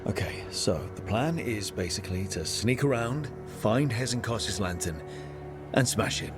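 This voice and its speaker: An actor playing a wry, reassuring young adventurer leader in a video game, casual and plain-spoken. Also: male voice, fairly low pitch, lively intonation, measured pace. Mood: brisk, wry. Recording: music behind the voice.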